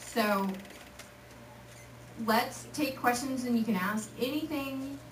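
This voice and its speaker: Lively woman from Texas addressing teens, distant mic in a noisy, echoey hall. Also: fairly high pitch, slow. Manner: lively, joking, acts out voices. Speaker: youth speaker. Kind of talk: casual talk to a youth group.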